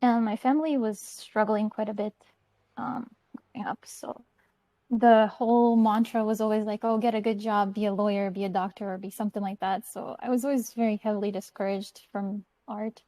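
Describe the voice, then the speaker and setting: A woman from Croatia talks through her story as a guest on an online chat, easygoing and chatty. Her voice is mellow and warm. The sound is dry, with no room echo. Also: medium pitch, steady tone, normal speed. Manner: easygoing, chatty, light laughs. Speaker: guest artist. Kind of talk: casual interview answers.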